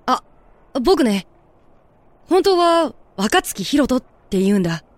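A woman voicing a gentle, earnest young man with a sad past, speaking politely and softly in an audio drama. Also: sits high, very animated, slow pace, no room sound, full character voice. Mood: gentle, calm.

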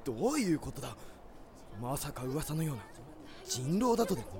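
A brusque male cop played by an actor in a Japanese voice drama. He speaks in curt, commanding phrases with a slightly high male voice. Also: very animated, brisk, a crowd in the background. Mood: serious. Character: brusque male police officer guarding crime scene. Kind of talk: Japanese amateur voice drama acting.

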